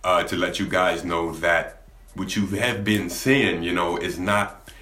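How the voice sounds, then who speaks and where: A young man with a deep voice, talking candidly to camera in a laid-back, rambling way, often restarting his sentences. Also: steady tone, a little slow, sharp tone. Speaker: gaming YouTuber. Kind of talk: candid vlog talk to camera. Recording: echoey room.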